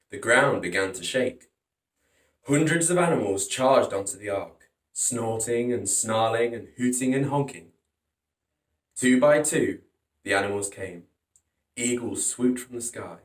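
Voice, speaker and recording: A man hosting a storytime, narrating a children's picture book in a dramatic, emphatic way. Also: medium pitch. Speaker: storytime host reading picture books. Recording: roomy echo, distant from microphone.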